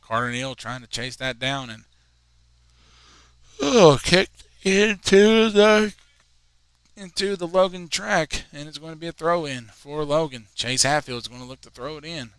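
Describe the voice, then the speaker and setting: Bright, chatty young male commentator. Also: a little high, measured pace, clean tone, dry recording. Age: 20s. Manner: chatty, rambling asides between plays, excited on goals. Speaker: play-by-play commentator. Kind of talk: high school soccer commentary.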